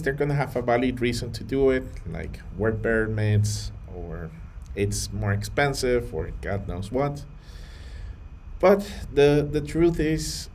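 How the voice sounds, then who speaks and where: A man from Colombia, earnest and measured, talking to camera with a few fillers and restarts. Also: mid-range voice, darkish tone, slight background noise. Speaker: vlogger on data careers. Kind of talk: to-camera talk.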